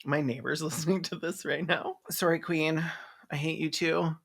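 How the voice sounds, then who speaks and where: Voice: mid-range voice, fairly even intonation, moderate pace, creaky, husky. A video essayist giving snarky, campy, sarcastic comedic commentary on a film.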